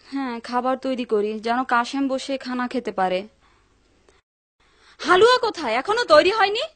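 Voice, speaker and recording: Bossy high-voiced housewife, dry sound. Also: female voice, expressive, normal speed, fairly bright tone, no echo. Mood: impatient, scolding. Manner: demanding, scolding. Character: bossy, suspicious wife of a well-off householder. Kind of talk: Bengali-dubbed cartoon acting.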